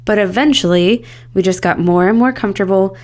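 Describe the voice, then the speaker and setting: A woman's voice: medium pitch, fairly quick, darkish tone. Woman host with a scratchy, cold-worn voice. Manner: earnest, candid and upbeat. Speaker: podcast host. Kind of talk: solo podcast talk.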